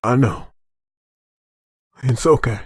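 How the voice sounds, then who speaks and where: A woman playing a caring survivor who takes charge in a horror comic dub, with a husky voice. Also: very deep voice, slow pace, dry recording, clean studio sound, heavily acted. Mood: soothing.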